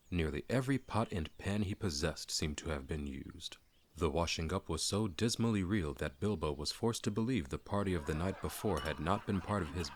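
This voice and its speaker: A man narrating a classic fantasy story as a dramatic audiobook reading. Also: deep voice.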